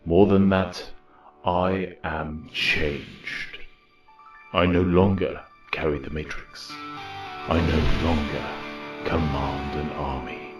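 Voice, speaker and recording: A man playing a weary, solemn robot war leader in a grave, deliberate audio drama monologue. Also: rumbling low, steady tone, over music. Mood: resolute. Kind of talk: reflective dramatic monologue narration.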